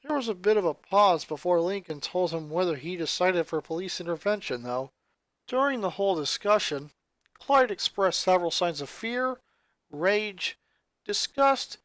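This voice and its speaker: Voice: mid-range voice, fairly bright tone, no echo. A narrator reading fan fiction aloud as scripted story narration. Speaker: story reader.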